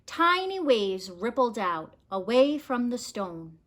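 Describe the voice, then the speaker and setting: A woman reads a children's story aloud with a very bright, clear-cut tone. Her pitch sits fairly high, and she takes her time, shaping the phrases expressively like a storyteller with a picture book. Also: big pitch swings, slow pace.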